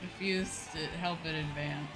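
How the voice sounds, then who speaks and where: A woman's voice: sits low, steady tone, measured pace, rough recording. A woman streaming casually as she plays a game, talking in an opinionated, emphatic way and swearing freely. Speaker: streamer, VTuber host. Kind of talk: casual livestream chat while gaming. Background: video game music.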